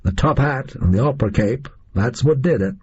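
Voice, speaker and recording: A man's voice: medium pitch, expressive, moderate pace, a little mellow, husky, voice pushed up. A man voicing a tough, sardonic gunman who drawls in casual slang. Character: tough American male gunman, blunt and sardonic. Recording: muffled lo-fi recording.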